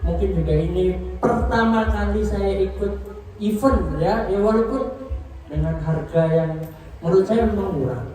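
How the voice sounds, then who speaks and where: A man's voice: high voice, measured pace, warm tone, soft background music. A man from East Java introducing himself at a gathering in a joking, chatty way. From Malang, East Java. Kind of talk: casual self-introduction. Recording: echoey, from far off.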